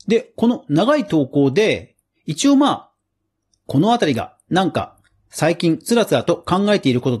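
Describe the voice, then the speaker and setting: A man hosting a podcast, giving casual solo commentary in an explanatory way, with emphatic phrasing and deliberate pauses. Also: fairly high pitch, darkish tone, husky. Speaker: podcast host.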